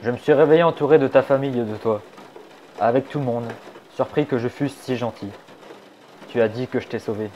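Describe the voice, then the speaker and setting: A man voicing a sly, wisecracking young male fox in a fan dub of a comic, wry and teasing, turning soft and confiding. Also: medium pitch, brisk, lo-fi sound, slight background noise, close to an everyday voice. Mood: tender.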